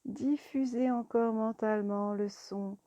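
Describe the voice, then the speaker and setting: A woman guiding a yoga relaxation meditation in a calm, soothing, deliberate manner. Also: fairly high pitch, no room sound, highs cut off. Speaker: yoga nidra teacher.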